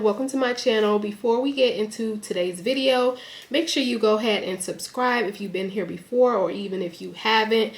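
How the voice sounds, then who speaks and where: A woman's voice: fairly high pitch, creaky. Young adult woman, conversational vlogger, mildly congested, filler-heavy, roomy echo. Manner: chatty, lots of "like" and "um". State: a bit congested. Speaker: YouTuber. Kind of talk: casual sit-down chat to camera. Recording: echoey room.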